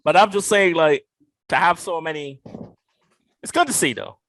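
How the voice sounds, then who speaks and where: Animated man from Barbados, lively pitch. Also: moderate pace, slight background noise, rough recording. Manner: loose and animated, swearing freely. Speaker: podcast co-host. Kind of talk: casual chat.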